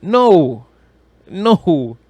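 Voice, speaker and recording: A man's voice: high voice, sing-song, very slow, a little mellow, no room sound. A man chatting casually as a podcast co-host, slangy and profane, with strong opinions. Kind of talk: casual chat about movies and games.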